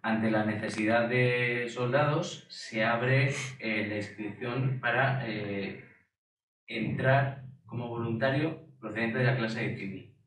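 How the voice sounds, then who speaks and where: A man's voice: medium pitch, slow. Spanish man telling history, echoey far-off sound. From Spain. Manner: animated storyteller, acts out quoted voices. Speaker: guest speaker. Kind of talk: conversational history talk. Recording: echoey room, distant mic.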